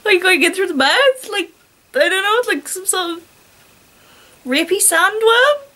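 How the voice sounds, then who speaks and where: A woman's voice: very high-pitched, very animated, slow pace, bright, forceful tone. A British woman reading a story aloud with giggly, incredulous, sarcastic asides. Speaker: reader and commentator.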